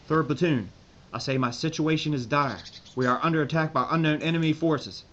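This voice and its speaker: An actor playing a stubborn, embattled army major in an audio drama, barking orders and radio procedure. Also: male voice, a little high, brisk, a bit bright, natural voice. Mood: urgent. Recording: muffled, lo-fi, with background noise.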